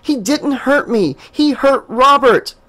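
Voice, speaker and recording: A man's voice: animated, takes it slowly, full character voice. A man reading an audiobook, voicing a frantic, furious young woman in a raised, strained voice. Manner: high strained pleading and shouting.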